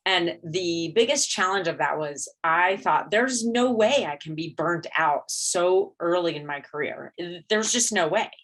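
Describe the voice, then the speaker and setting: A woman's voice: fairly low pitch, lively intonation, a little slow, few pauses, a bit bright, low-quality recording. A middle-aged woman who is a dentist, giving a candid, reflective talk at a conference. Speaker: dentist and speaker.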